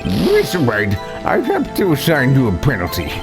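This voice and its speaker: Man putting on an animated, declaiming courtroom judge. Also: mid-range voice, moderate pace, over music, voice pushed up. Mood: official. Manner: declamatory, official. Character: flustered, authoritative older male courtroom judge. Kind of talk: dramatic video game voicing.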